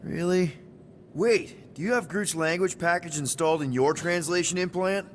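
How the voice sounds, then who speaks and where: An actor playing an easygoing, friendly spaceship crew leader in a video game, speaking in casual, rambling slang. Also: male voice, sits high, normal speed, a little hiss or hum, natural voice. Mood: curious, awkward.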